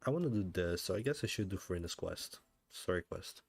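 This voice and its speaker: A man's voice: deep voice, expressive, brisk, creaky, husky, no echo. A man giving casual gameplay commentary as a streamer, talking offhandedly to himself and repeating phrases. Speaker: game streamer.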